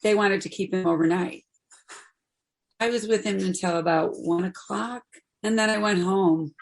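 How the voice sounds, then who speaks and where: A woman acting a gentle, supportive friend in her fifties in a play reading, speaking in a reassuring way. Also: medium pitch, normal speed, lightly acted, poor audio. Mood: matter-of-fact, caring. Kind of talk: play reading, naturalistic dialogue.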